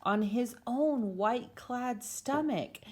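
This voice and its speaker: A woman's voice: on the high side, slow pace, a little mellow. A woman reading a children's storybook aloud as narrator, in an amateur home recording. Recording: clear background noise, amateur home video.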